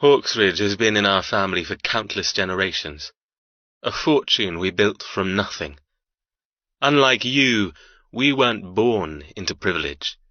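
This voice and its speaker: A man playing a cold, arrogant young heir in an audiobook, threatening and deliberate. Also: fairly low pitch, lively intonation, pushed and bright, dry recording, muffled recording. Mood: cold menace. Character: cold menacing heir, cruel and possessive brother. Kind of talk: dramatic audiobook character acting.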